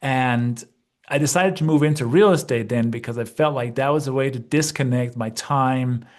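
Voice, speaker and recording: A man's voice: mid-range voice, moderate pace, darkish tone. A man in his fifties, originally from Denmark, chatting easily as an interview guest and peppering his talk with "you know" and "right?". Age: 50s. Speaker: guest, real estate investor and coach. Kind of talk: casual interview conversation.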